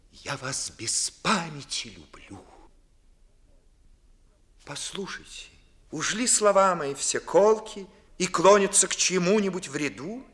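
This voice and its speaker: An actor playing a witty, ardent young gentleman in a declamatory, mocking manner, in 1950s Russian theatrical radio verse. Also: fairly high pitch, full character voice. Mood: ardent, wounded. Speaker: stage actor. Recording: early 1950s studio radio recording.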